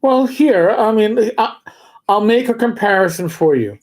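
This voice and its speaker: A man co-hosting a livestream, chatting casually about tabletop games and spinning rambling anecdotes with slow, drawn-out emphasis. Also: high-pitched, animated, a little mellow. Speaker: livestream co-host.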